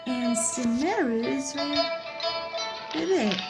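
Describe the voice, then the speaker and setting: A woman with a high-pitched voice gives casual commentary as a gameplay streamer. She rambles and turns a little theatrical. Loud game music plays behind her. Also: lively intonation, slow.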